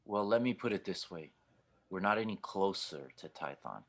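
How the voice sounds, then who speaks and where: Man acting a blunt, low-voiced starship pilot. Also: expressive, normal speed. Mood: grave, blunt. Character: gruff, steady older male starship pilot, protective of his companion. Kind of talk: audio drama table read.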